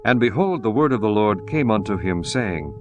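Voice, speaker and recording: Solemn low-voiced male narrator. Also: lively intonation, muffled recording, over music. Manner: slow, measured, solemn recitation. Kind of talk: dramatized scripture reading.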